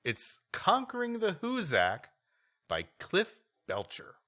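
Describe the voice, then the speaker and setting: A man's voice: high-pitched, huge pitch swings, slow, pushed and bright. A man co-hosting a live podcast, bantering and reading stories aloud in a mock-announcer manner. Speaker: podcast co-host.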